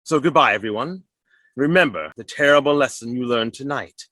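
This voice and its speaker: An actor playing a genial radio director signing off a broadcast with a wry, playful manner. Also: male voice, mid-range voice, animated, normal speed, a bit bright, no room sound. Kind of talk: 1930s radio acting.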